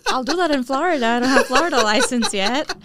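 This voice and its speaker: A woman's voice: sits high, animated, quick pace, heavy background noise. A woman in her forties, from Bangladesh and raised in New Jersey, chatting as an interview guest, rambling and laughing often. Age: 40s. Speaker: real estate investor guest. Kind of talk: casual interview chat.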